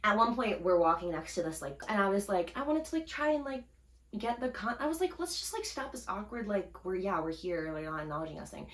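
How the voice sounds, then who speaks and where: A young woman co-hosting a casual podcast, telling a story in an animated way, acting out quotes and laughing often. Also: medium pitch, a little fast, roomy sound. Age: young adult. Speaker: podcast co-host.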